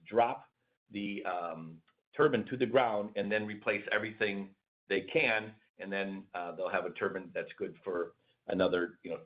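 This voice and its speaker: A man answering questions as a company executive, casually, with repeated words and everyday comparisons. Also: on the low side. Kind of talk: answering questions on a conference call. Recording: dull lo-fi call audio.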